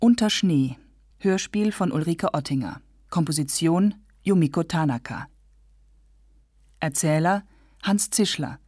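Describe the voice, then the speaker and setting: A woman's voice: deep voice, expressive, a little slow, a little mellow, breathy edge, dry recording, studio quality. A woman reads scripted radio credits in a plain, formal announcing manner. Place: dry studio.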